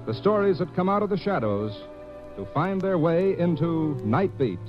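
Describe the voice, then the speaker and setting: A man's voice: a little high, big pitch swings, normal speed. An American man announcing closing credits in scripted 1950s radio style. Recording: muffled old radio recording with music.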